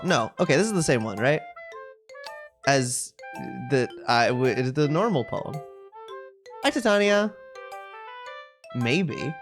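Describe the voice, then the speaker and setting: A woman streaming live, chatting casually and wryly as she reads a visual novel aloud. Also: extremely low pitch. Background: game music in the background.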